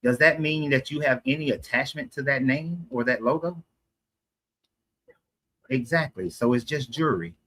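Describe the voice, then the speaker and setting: A man's voice: medium pitch, normal speed, long pauses, sharp tone. Argumentative man from Atlanta. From Atlanta, USA. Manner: argumentative, explains at length, self-correcting. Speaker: street debater. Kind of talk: casual street conversation.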